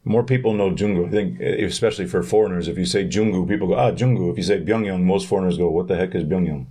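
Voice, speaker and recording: A man's voice: low voice, warm tone, husky. A man hosting a podcast, asking several questions in a row in a casual interview. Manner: asks stacked rapid-fire questions. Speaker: podcast host.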